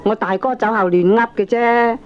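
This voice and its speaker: A woman's voice: on the high side, steady tone, moderate pace, close to an everyday voice. Woman acting an assured sister, Hong Kong Cantonese, muffled. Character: assured younger sister vouching as family witness. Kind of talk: 1980s comic radio drama acting. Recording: dull 1980s audio cassette recording.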